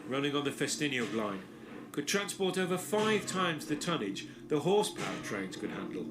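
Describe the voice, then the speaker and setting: A man giving scripted documentary narration in a measured, storytelling delivery. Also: a little high, sing-song, background noise. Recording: narration over music from a played video.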